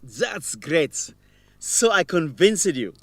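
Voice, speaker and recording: Noisy audio, young man, modest student friend, slow deliberate speech, swinging intonation, radio drama. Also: fairly high pitch, big pitch swings, full character voice. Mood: pleased. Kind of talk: radio drama acting for English learners.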